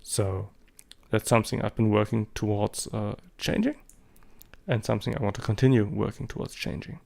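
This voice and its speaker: A man's voice: lowish voice, normal speed, creaky, a little mellow. A man in his thirties, a native German speaker talking in English with a German accent, giving casual, rambling commentary with many hesitations and fillers. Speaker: photography vlogger.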